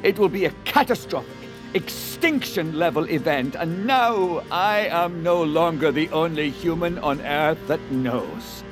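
Guy playing a bossy grave scientist in an audio drama, highish voice, formal n measured, music behind. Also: lightly acted. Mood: grave, solemn. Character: imperious, grave male scientist announcing doom to captives.

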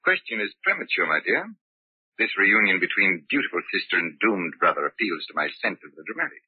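A man's voice: deep voice, sing-song, a little fast, slightly rough, dry recording, heavily acted. An actor playing a smug, cruel, controlling older father with suave, pompous, mock-affectionate speech in an old radio drama. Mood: smugly genteel. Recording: muffled, tinny old radio broadcast recording.

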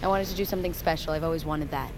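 An actress playing a wry, kind but reluctant submersible pilot in an audio drama, talking in a dry, casual, teasing way. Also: female voice, low-pitched, rapid-fire. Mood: uneasy, reluctant. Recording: clear vehicle and sea ambience noise.

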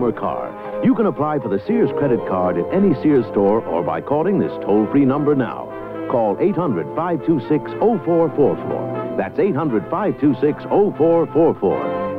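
Lively male announcer on dull old radio. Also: medium pitch. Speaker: commercial announcer. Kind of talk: 1970s radio commercial announcing. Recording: dull lo-fi 1970s radio recording.

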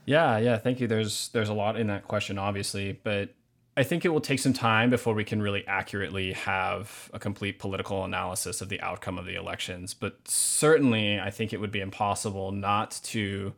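A man speaking as a novelist guest in a measured, thoughtful way. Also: fairly low pitch, fairly even intonation, gravelly, fairly bright tone. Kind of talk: literary reading and thoughtful interview answers.

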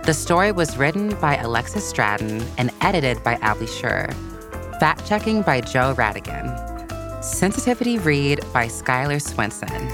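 A narrator reading a scripted children's biography in an expressive, measured storytelling way. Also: mid-range voice. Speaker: podcast narrator. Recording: soft music bed behind voice. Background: background music.

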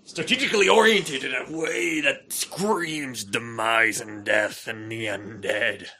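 A man's voice: medium pitch, slow, vocal fry, sharp tone. A man co-hosting a podcast, bantering casually with jokey, playful exaggeration. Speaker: podcast co-host.

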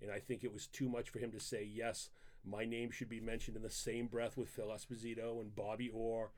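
A man's voice: on the low side, little pitch movement, fairly quick, a little mellow. A middle-aged man with a Maine accent chats as a podcast guest. He picks his words in a mock-formal way and keeps a playful edge. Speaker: guest, host's father. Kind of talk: casual podcast chat.

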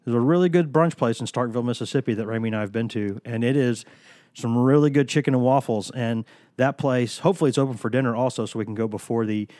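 A man's voice: medium pitch, fast, a little mellow, no echo. A man hosting a casual sports podcast from a studio, talking while he has a cold, rambling and joking as he tells stories. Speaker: podcast host.

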